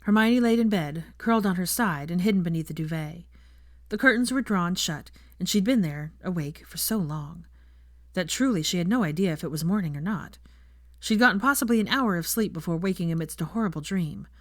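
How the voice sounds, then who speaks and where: Low-voiced woman narrating, very dry sound. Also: lively intonation, a little fast, no echo. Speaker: fan fiction narrator. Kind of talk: scripted story narration.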